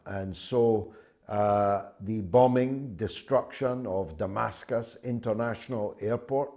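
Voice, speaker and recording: An older man with a Scottish accent hosting a call-in talk show, speaking forcefully and emphatically with many pauses. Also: fairly low pitch.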